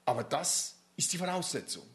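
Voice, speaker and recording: A man preaching, his voice sitting a little higher than most men's. He is animated and emphatic, turning to the listeners with questions as he preaches. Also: normal speed. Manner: animated, emphatic, addresses the audience directly. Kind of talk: interactive sermon.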